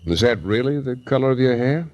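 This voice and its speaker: A man's voice: medium pitch, expressive, brisk, darkish tone, dull audio, natural voice. An American man playing a wisecracking, hard-boiled private detective in 1940s radio style, wry, breezy and slangy. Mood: flirtatious. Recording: noisy 1940s radio transcription recording.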